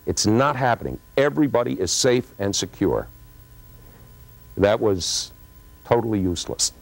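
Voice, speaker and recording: A man's voice: fairly low pitch, sing-song, normal speed, vocal fry. A middle-aged man telling a story to camera in a dramatic way, acting out remembered dialogue. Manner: dramatic, acts out quoted dialogue. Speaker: former radio program director recalling a broadcast. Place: TV studio stage.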